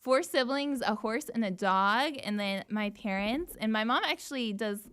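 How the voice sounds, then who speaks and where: A woman's voice: fairly high pitch, lively intonation, moderate pace, a bit bright, clean tone. A giggly young woman, chatting on air as a radio intern. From Tennessee, USA. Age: 20s. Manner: giggly, bubbly, laughs between answers. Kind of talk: casual on-air chat.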